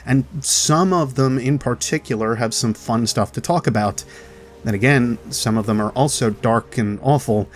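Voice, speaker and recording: A man hosting a video, giving chatty, explanatory commentary as a voice-over about game lore. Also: mid-range voice. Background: music.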